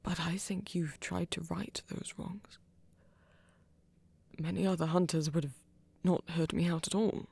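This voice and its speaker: A performer playing a flirty, earnest vampire in an ASMR romance roleplay, speaking haltingly and intimately in a husky, often half-whispered voice. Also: medium pitch, fairly even intonation, a little mellow. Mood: tender, reassuring. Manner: hesitant, halting, breathy and intimate. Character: flirty, earnest vampire confessing love, soft-spoken.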